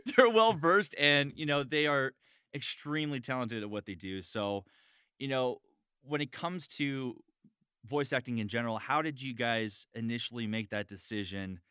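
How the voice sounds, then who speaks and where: A man's voice: medium pitch, bright, forceful tone, smooth, no room sound, clean studio sound. A man hosting a podcast about voice acting in casual chat. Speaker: podcast host, voice actor.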